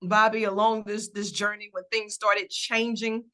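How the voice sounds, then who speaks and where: Bright, animated woman host. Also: medium pitch, unhurried. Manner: animated, laughs often, acts out quotes. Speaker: talk show host. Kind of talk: lively interview chat.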